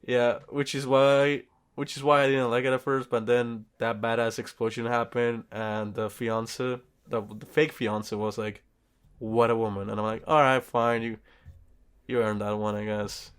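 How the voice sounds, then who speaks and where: A man's voice: mid-range voice, little pitch movement, a little slow, clear, resonant tone. Blunt, informal man in casual chat. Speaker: podcast co-host. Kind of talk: casual film review chat.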